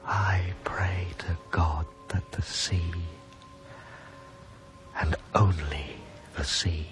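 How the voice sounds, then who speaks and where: A man playing a broken, grieving widower in a radio drama monologue, hushed and halting with sorrow. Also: sits low, fairly even intonation, soft background music, full character voice. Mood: bereft. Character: grieving, broken widower narrating his lost wife.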